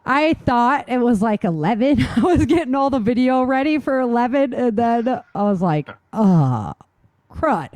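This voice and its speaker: A woman's voice: fairly high pitch, expressive, a little slow, a little mellow, dry recording. Casual, enthusiastic woman podcaster, close dry sound. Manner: enthusiastic, informal. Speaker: podcast co-host and novelist. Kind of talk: casual chat.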